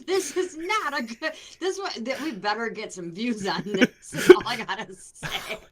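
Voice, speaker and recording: A woman's voice: on the high side, a crowd in the background. A woman from Wisconsin with a Wisconsin accent, co-hosting a casual podcast chat and teasing her partner bluntly. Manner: teasing, blunt, quick to interrupt. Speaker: podcast co-host.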